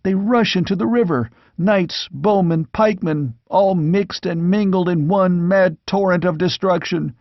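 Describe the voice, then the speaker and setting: A man's voice: sits high, unhurried, hardly any pauses, no echo, voice pushed up. A man voicing a frantic young male squire who reports a battle in breathless, urgent bursts. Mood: anguished. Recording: dull lo-fi recording.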